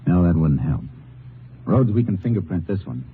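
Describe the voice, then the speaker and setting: A man playing a grim, steady Texas Ranger in an old radio western, speaking tersely and matter-of-factly. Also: deep voice, moderate pace. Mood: grim, intent. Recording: tinny, noisy old radio recording.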